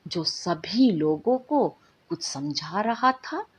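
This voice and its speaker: A woman storyteller narrating a bedtime tale in an expressive, drawn-out, dramatic way. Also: fairly low pitch, husky.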